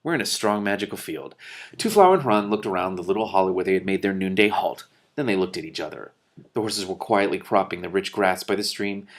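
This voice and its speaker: A man reading a book aloud expressively as host, breaking in with his own animated, off-the-cuff commentary. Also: sits low, fast talker.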